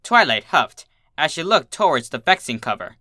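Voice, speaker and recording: A woman reading fan fiction aloud as expressive scripted story narration. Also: low-pitched, normal speed, no room sound, clean studio sound. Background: soft guitar music.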